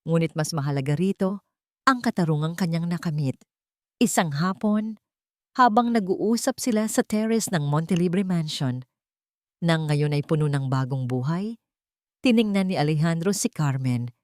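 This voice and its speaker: A woman's voice: low voice, normal speed, dry recording, studio quality. A woman reading a scripted romantic story as a dramatic narrator.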